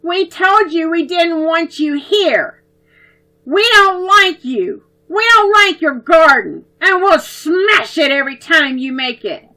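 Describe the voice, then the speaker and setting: Storybook bully kids, jeering, yelling, bright, read by a woman. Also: very high-pitched, expressive, slow pace, pitched above the performer's own voice, heavily acted, poor audio. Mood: taunting. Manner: loud, taunting, shouting. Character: two rude bullying neighbor children, shouting.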